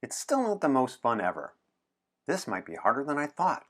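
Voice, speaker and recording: A man's voice: medium pitch, wildly swooping pitch, normal speed. Man playing a serious, fussy little boy. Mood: fussy, annoyed. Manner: formal, precise, exasperated.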